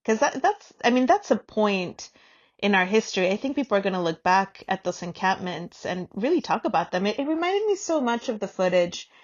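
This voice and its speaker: A woman's voice: medium pitch, big pitch swings, brisk, muffled recording. A woman co-hosting a podcast in casual, candid conversation, informal and ready to tease or challenge. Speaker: podcast co-host.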